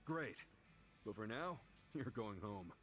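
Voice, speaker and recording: A man playing an easygoing, kindly and slightly gruff protector in a video game, with a casual, reassuring manner. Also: fairly low pitch, expressive, slow pace, a bit bright, soft background music. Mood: friendly.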